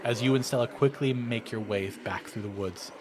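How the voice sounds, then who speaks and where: A man's voice: medium pitch, moderate pace, noisy background. A man reading a horror visual novel aloud as a dramatic narration for a let's play. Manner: theatrical, acts out characters.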